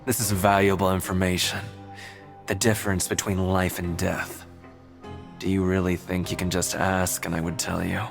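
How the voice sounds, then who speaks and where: A man's voice: low-pitched, little pitch movement, normal speed, creaky, faint music behind. A man voicing a sly, streetwise prisoner in a video game, teasing and matey as he hints at secrets. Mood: teasing, dismissive. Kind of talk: video game cutscene voice acting.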